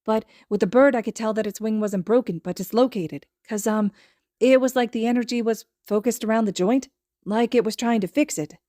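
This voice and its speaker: A woman voicing a timid, kind, excitable little boy who stammers and gushes, in a fan fiction audiobook. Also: fairly high pitch, fairly quick, no room sound, clean studio sound, pitched above the performer's own voice, natural voice. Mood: flustered, eager. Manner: stammering, gushing.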